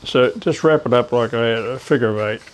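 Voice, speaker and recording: A man giving a casual hands-on how-to as a hobbyist, talking haltingly as he works with his hands. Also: medium pitch. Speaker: ham radio hobbyist presenting DIY tips.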